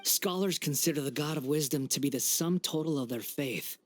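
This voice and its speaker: A man's voice: on the high side, moderate pace, natural voice. An actor playing an arrogant, mocking young man who sees himself as a god, in an English anime game dub. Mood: haughty. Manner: condescending, theatrical, taunting. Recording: background music under the voice.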